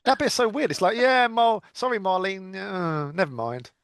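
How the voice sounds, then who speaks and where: Middle-aged British male podcast guest, fairly high voice, dry close sound, blunt self-mocking chat. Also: normal speed, fairly bright tone, dry recording. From United Kingdom. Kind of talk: casual chat.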